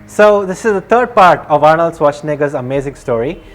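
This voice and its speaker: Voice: mid-range voice, animated, fairly quick, soft background music. A video narrator telling a life story off the cuff, hesitant and rambling, often restarting and repeating phrases. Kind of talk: casual unscripted storytelling.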